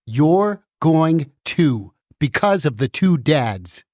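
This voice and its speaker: Casting: a woman as a grim, urgent banned-book puppet, theatrical, widely swinging pitch, drawn-out delivery, dry sound. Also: very animated, mellow, no room sound, full character voice. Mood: grim, dramatic. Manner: dramatic, urgent, interrogating. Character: frantic, world-weary banned book, burned and warning others. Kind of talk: musical puppet show acting.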